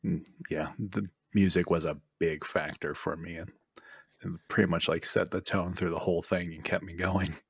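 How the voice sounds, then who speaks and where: A man on a podcast panel chatting casually, often halting as he searches for his words. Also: sits low, steady tone, vocal fry, husky, no echo. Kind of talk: casual chat.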